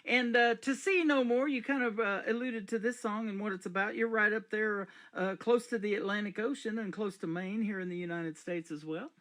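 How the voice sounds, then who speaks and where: A man's voice: high-pitched, fairly quick, few pauses, fairly bright tone. 60s guy radio host, folksy, cracks little jokes, keeps the guest going. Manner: folksy, jokey, encouraging. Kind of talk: casual radio interview.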